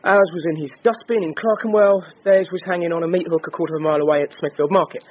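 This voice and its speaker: An actor playing an eager, blundering detective sergeant in a radio drama, matter-of-fact and clumsily jokey. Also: male voice, a little high, steady tone, quick pace, lightly acted. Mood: eager, chatty. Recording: dull radio drama recording with noise.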